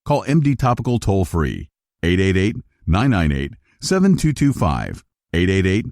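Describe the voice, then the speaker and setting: A male announcer reads a scripted commercial in a low voice. His pitch moves very widely, and the sound is dry and close. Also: huge pitch swings, moderate pace, a little mellow, no echo. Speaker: advertising announcer.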